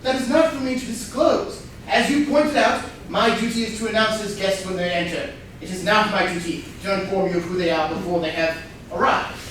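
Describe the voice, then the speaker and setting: An actor playing a curt, formal butler with clipped politeness in a staged comedy reading. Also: male voice, high-pitched, a little fast, fairly bright tone. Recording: very echoey room, distant mic, faint noise.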